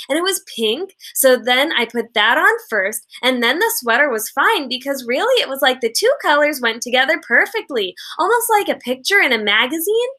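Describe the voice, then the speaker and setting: A woman's voice: high-pitched, pushed and bright, exaggerated voice. A woman voicing a chatty, haughty fifth-grade girl from a children's book, gushing in run-on sentences.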